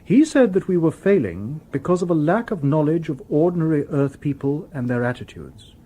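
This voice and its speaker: A formal robot detective, mellow voice. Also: male voice, a little high, lively intonation, husky. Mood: calm, formal. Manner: formal, precise, measured phrasing. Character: calm, courteous, literal-minded male humanoid robot detective. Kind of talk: radio drama acting.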